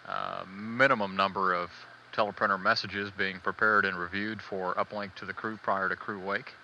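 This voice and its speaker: A man giving live spaceflight status commentary as a mission control announcer, measured, hesitant and matter-of-fact, on old band-limited broadcast audio. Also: low voice, flat delivery, pushed and bright, lo-fi sound, slight background noise.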